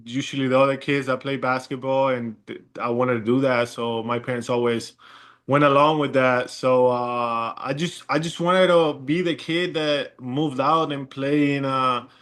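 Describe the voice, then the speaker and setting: A young man from Chile with a Chilean Spanish accent, a guest in a casual interview, talking in a relaxed, rambling way with many fillers. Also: medium pitch. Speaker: guest, pro basketball player.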